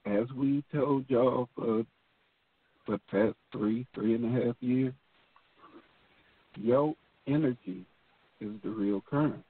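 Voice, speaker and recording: A man's voice: mid-range voice, slow pace, pauses often, no room sound. A man co-hosting a casual talk show, speaking bluntly and emphatically with a lot of profanity. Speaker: co-host. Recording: tinny phone line.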